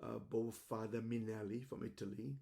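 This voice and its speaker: An older man from Macau, speaking English as an in a halting, reflective monologue, often repeating words, recorded on a webcam at home. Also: lowish voice, fairly even intonation, gravelly, warm tone. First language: Cantonese. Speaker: host. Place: home room indoors.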